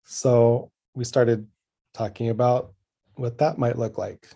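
A man co-hosts the podcast with a mellow, warm-toned voice. He speaks calmly and supportively in casual chat, mostly in short replies. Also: medium pitch, slow, gravelly. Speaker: podcast co-host and creative partner.

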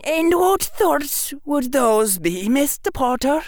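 A performer voicing a grave older witch professor, soft-spoken, measured and formal. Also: very animated, no echo, studio quality, heavily acted. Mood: soft, probing. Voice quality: soft, high voice.

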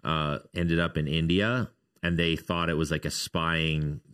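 A man co-hosting a casual faith podcast, loud and resonant, telling stories with lively energy. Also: extremely low pitch, a little slow. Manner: loud, animated storyteller. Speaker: podcast co-host. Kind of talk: casual chat.

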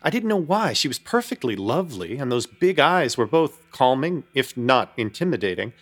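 Young guy narrating a spooky radio play, wry and measured, pretty lively pitch. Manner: wry, measured storytelling with nervous asides. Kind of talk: first-person radio drama narration.

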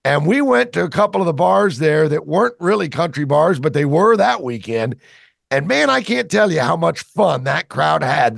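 Gravelly, chatty talk radio man. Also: fairly high pitch, no echo, clean studio sound. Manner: chatty and enthusiastic.

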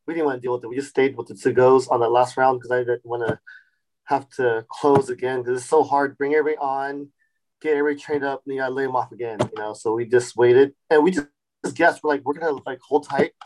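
A man in his forties, a chef and restaurant founder, telling his story in a relaxed podcast interview with many fillers and restarts. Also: medium pitch, quick pace, rough recording. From Sacramento, California. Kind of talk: casual interview.

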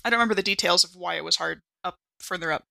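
A woman with a bright, forceful voice and plenty of fry plays a tabletop game. She talks casually in a dry-sounding recording, restarting and repeating words as she improvises. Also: fairly low pitch, rapid-fire, dry recording. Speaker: player in a tabletop RPG podcast.

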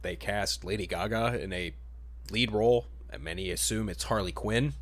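A man's voice: on the low side, normal speed. A man hosting a podcast in a casual, conversational style. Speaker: podcast host. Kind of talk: casual chat about superhero films.

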